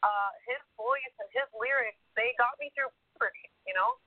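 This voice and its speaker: A woman's voice: fairly high pitch, normal speed, no room sound. A woman singer being interviewed, talking energetically and laughing often. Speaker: singer guest. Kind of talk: casual interview chat. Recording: tinny phone-line sound.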